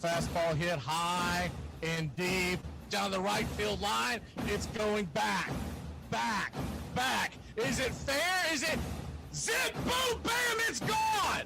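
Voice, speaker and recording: A man calling baseball play-by-play with showy, drawn-out excitement and colourful catchphrases. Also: sits high, gravelly, shouting, over music. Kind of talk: excitable sports commentary with catchphrases.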